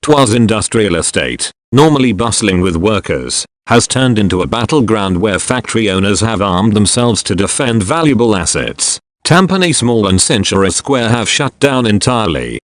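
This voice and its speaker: A low-voiced, grave government alert announcer played by a man delivers a dystopian emergency broadcast. The delivery is formal and measured, yet the pitch moves noticeably through each phrase. The sound is dry and close, with no room around it. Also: dry recording, close to an everyday voice. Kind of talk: scripted emergency broadcast narration.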